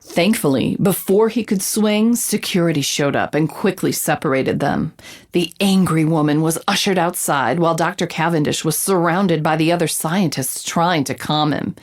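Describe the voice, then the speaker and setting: A woman's voice: deep voice, moderate pace. A woman playing a curious roving reporter, narrating events as a scripted, vivid audio drama report. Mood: engaged, wry.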